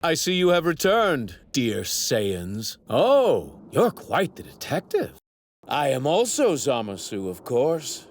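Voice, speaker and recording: An actor playing an arrogant, righteous god villain in an anime dub, with pompous, theatrical, formal delivery. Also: male voice, medium pitch, huge pitch swings, takes it slowly, slight background noise. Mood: smug. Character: arrogant male god villain, righteous zealot who despises mortals. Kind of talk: dubbed anime villain acting. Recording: sound effects bleeding behind voice.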